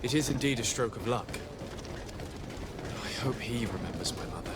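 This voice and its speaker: A man's voice: mid-range voice, animated, normal speed, very noisy, lightly acted. An actor playing a polite, earnest young nobleman hero in a game. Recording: game music under voice.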